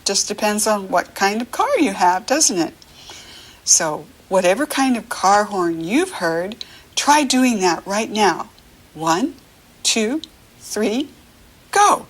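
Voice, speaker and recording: American woman, children's read-aloud teacher. Unhurried, very lively pitch, encouraging and full of questions. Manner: encouraging, asks questions and leaves room to answer; animated, sing-song child-directed talk. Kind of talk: read-aloud picture-book lesson for young children.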